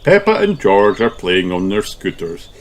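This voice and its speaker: A man's voice: lowish voice, sing-song, measured pace, soft background music. A man reading a scripted children's audiobook as a storybook narrator.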